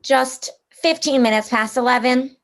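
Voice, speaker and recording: An actress playing a brisk, formal hospital receptionist in a radio drama, politely officious and clipped. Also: female voice, sits high, fairly bright tone. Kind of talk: 1940s radio drama acting.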